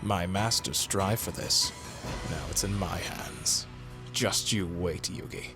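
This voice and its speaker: A smug young anime villain, put on by a man, bent on revenge. He speaks in a low, hushed register and draws his threats out slowly and deliberately. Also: music in the background. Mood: brooding, vengeful. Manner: slow, deliberate, menacing delivery. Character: vengeful young male card-game villain, smug and menacing.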